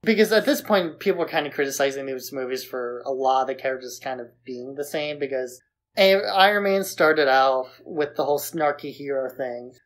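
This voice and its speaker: A man's voice: mid-range voice, animated, brisk, poor audio. A man co-hosting a podcast, discussing films in a casual, conversational style. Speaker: podcast co-host.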